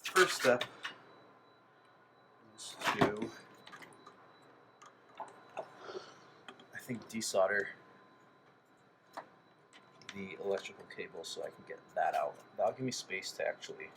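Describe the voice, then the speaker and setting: A man's voice: lowish voice, expressive, slow, pauses often. A man casually thinking aloud as he demonstrates hobby work at a bench, tentative and speaking in fragments. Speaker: hobbyist demonstrating a radio-to-amp conversion. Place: indoor workbench. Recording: clearly noisy room recording.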